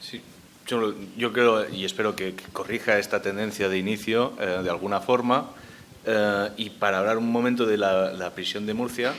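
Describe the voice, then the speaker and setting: Low-voiced man on a conference panel. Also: steady tone, a bit bright, a little hiss or hum. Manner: measured, thinking aloud with hesitations. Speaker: panel speaker on memory policy.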